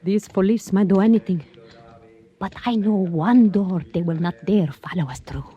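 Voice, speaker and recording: A woman's voice: mid-range voice, normal speed, slightly rough, lo-fi sound, close to an everyday voice. An actress playing an alluring, world-weary waterfront woman in theatrical, intimate old-time radio drama style. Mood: weary, admiring.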